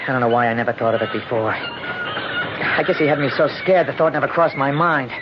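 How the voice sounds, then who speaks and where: A man's voice: medium pitch, brisk, close to an everyday voice. A man playing a bitter, desperate man with a hard, insistent manner in old-time radio acting. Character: bitter, desperate man named Jack, bent on killing. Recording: dull, noisy old radio recording.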